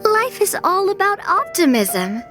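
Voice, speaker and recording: A woman's voice: very high voice, very animated, measured pace, music in the background, exaggerated voice. An actress playing a relentlessly sunny young woman adventurer, with a chirpy, earnest, theatrical way of talking. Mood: bubbly, cheerful.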